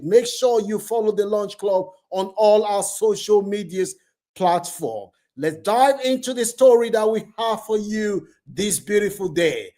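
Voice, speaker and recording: A man's voice: high-pitched, warm tone. A man hosting an online channel, telling a story in an emphatic way and repeating phrases for effect. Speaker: YouTube channel host. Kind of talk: storytelling commentary to camera.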